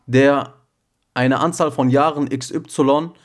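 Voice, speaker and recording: A man's voice: mid-range voice, steady tone, slow pace. A young man co-hosting a casual podcast chat, talking in street slang and often asking if the other man gets what he means. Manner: slangy, keeps calling the other man bro and checking he follows. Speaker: podcast co-host.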